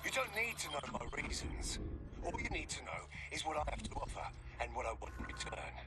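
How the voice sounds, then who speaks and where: An actor playing a ruthless, hard-bitten renegade dealer in a video game, speaking in curt, threatening commands. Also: male voice, on the high side, sing-song, a little fast, very noisy. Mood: cold, commanding. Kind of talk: video game cutscene acting.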